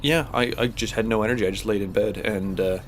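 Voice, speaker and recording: A man's voice: fairly low pitch, steady tone, quick pace, a little hiss or hum. A man hosting a gaming channel, chatting casually while he plays, rambling with lots of fillers and a slightly stuffed-up voice. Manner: rambling, heavy on fillers and restarts. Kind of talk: casual chat while playing a space game.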